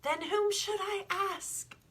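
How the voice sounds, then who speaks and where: A woman's voice: very high voice, slow pace, pushed and bright, voice pushed up, full character voice. A woman voicing an earnest, bold young boy who philosophizes emphatically in a storybook read. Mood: pleading.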